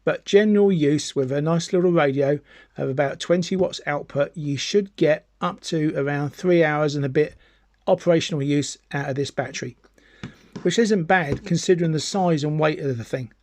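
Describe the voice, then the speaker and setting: Higher-pitched man giving a dry-sounding gadget demo. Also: normal speed, darkish tone, dry recording. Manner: chatty, explanatory, repeats points for emphasis. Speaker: amateur radio hobbyist presenting a gear review. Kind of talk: casual product demonstration and explanation.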